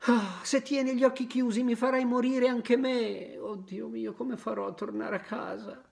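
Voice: mid-range voice, vocal fry, pitched above the performer's own voice, close to an everyday voice. A reader voicing a cheeky wooden puppet boy who turns desperate and tearful.

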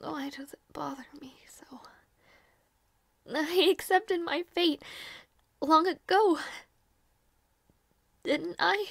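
Woman acting a high-voiced, hesitant young maid. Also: vocal fry, no room sound, full character voice. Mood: sad, wistful. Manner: soft, hesitant, halting, polite. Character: young female maid, devoted, anxious, secretly heartbroken. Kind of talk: audio roleplay acting.

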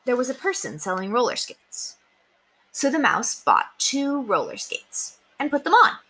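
A young woman reading children's stories aloud as an expressive storyteller. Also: on the high side, slightly rough. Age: young adult. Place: small indoor room.

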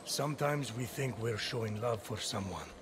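An actor playing a wry, patient titan storyteller in a game, speaking in a dry, measured, deadpan way. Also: male voice, medium pitch, very noisy, natural voice. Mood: wry, consoling. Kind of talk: game narration and banter. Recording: loud music behind the voice.

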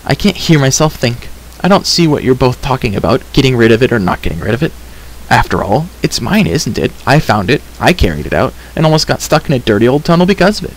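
A man's voice: mid-range voice, very animated, quick pace, a little hiss or hum, rough recording. A man voicing a headstrong, impatient girl in an emphatic, chattering way for a storybook reading. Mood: irritated. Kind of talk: audiobook character reading.

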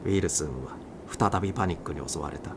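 Low-voiced man narrating a dramatic story. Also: fast. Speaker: narrator reading a short story aloud. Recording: clear background noise.

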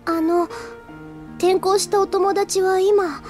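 A devoted, shy teenage schoolgirl in an anime audio drama. Her high voice is earnest and reflective, with a hesitant sincerity, over clearly audible music. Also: fairly even intonation, slow. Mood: tender, yearning. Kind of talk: Japanese anime audio drama acting with inner monologue.

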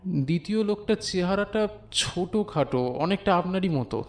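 A man's voice: high voice, expressive, moderate pace. A man playing an earnest, shy fifteen-year-old village schoolboy who speaks hesitantly and respectfully in an audio drama. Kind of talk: dramatised audio story dialogue.

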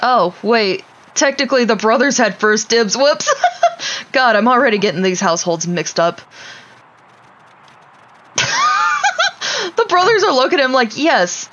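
A woman's voice: fairly high pitch, animated, normal speed, long pauses, a bit bright, lo-fi sound. A woman giving casual, wry gameplay commentary, talking to her game characters as she plays. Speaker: gaming streamer.

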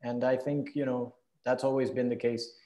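A man's voice: medium pitch, little pitch movement, moderate pace, warm tone, rough recording. A man in his thirties from Venezuela, answering reflectively as a guest on a video call interview. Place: indoor room, video call.

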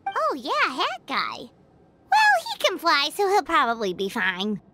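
A woman voicing a small, excitable, childlike fairy companion in an anime game dub, talkative and curious. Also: sits high, takes it slowly, very noisy, full character voice. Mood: unsure. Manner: speaks of self in third person.

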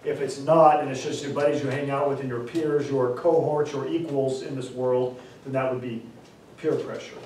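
A man's voice: medium pitch, steady tone, darkish tone, distant mic, slight background noise. Man lecturing in an echoey classroom. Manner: explains with repeated examples, stressing key terms slowly. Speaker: instructor. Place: classroom, indoors. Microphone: far camera.

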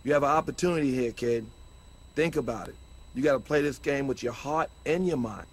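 An actor playing an earnest older mentor in a crime video game, giving advice in a measured, husky voice. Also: male voice, medium pitch, natural voice. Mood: grave, advising. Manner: measured, advising.

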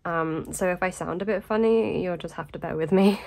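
A woman's voice: fairly low pitch, moderate pace, vocal fry, darkish tone. A woman talking casually in a vlog voice-over while sick, with a blocked nose, a sore throat and a voice that keeps fading, rambling with lots of ums and little laughs. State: congested and nasal from illness. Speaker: vlogger.

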